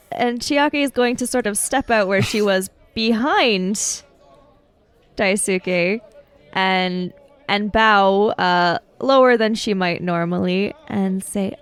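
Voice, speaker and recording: A woman playing a tabletop role-playing game, narrating her character with pauses mid-sentence. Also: mid-range voice. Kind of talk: improvised role-play narration.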